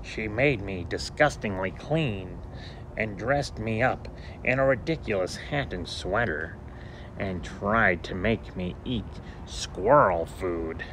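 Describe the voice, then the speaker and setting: A man reading a picture book aloud to children in an animated, theatrical way with deliberate phrasing, as a storyteller. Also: fairly low pitch. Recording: steady background noise.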